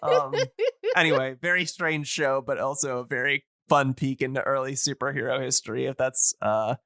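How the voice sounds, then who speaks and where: A man's voice: mid-range voice, steady tone, normal speed, sharp tone, no echo, clean studio sound. A man hosting a podcast, explaining things casually in a rambling, hesitant but enthusiastic way. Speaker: podcast host.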